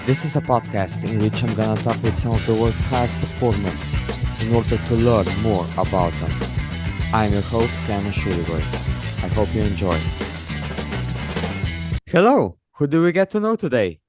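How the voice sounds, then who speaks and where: A man's voice: on the low side, expressive, normal speed, loud music behind the voice. A man hosting a podcast who opens with an intro and then asks interview questions in long, structured sentences. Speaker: podcast host.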